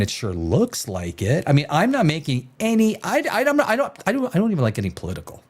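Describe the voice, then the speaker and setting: A man's voice: mid-range voice, a little mellow. A man from the Czech Republic, hosting a solo livestream, rambling from one tangent to the next with stammered restarts and swearing. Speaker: livestream host.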